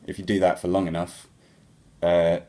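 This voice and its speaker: A young man, a musician, answering viewer questions in a relaxed, rambling way, with many ums and restarts. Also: low voice, normal speed. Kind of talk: casual Q&A chat.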